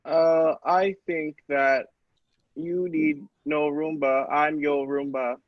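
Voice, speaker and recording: A man's voice: on the high side, steady tone, slow pace, no echo, highs cut off. A man voicing a needy robot servant with a stilted, aggrieved manner in an improvised comedy sketch. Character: needy bisexual robot servant, coffee robot, male.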